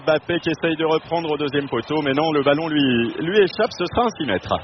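A man's voice: on the high side, fairly quick. A man giving live play-by-play commentary on a football match, steadily describing the action. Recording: muffled broadcast with background noise.

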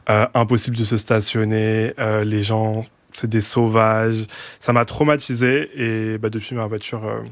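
A man's voice: on the low side, little pitch movement, measured pace, dry recording. A man in his late twenties, telling a complaint story in a dramatic way. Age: 20s. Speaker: podcaster guest.

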